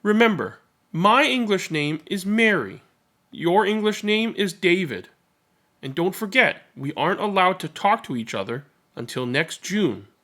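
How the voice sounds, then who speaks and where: A man voicing a young, protective big sister in a storybook, earnest and gently instructing. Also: sits high, animated, measured pace, voice pushed up. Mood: serious, cautioning.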